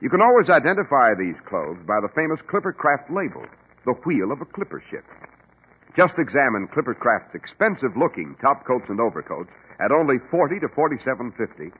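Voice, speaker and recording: A man's voice: mid-range voice, sing-song, brisk, breathy edge, phone-quality sound. An American man announcing and hosting in polished 1940s radio style, on a vintage radio recording.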